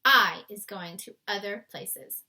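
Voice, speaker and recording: Woman as a gentle nervous storybook giant. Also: mid-range voice, takes it slowly. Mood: polite, defiant. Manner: soft-spoken, quaint muddled grammar. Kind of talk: storybook read-aloud character voice.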